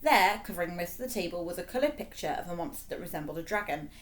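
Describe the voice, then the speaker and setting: A woman's voice: fairly low pitch, animated, fast talker. Woman reading a children's story expressively. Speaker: story reader.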